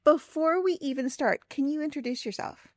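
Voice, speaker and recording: A woman's voice: high-pitched, normal speed, dry recording, studio quality. A woman hosting a podcast and interviewing a guest in a conversational style.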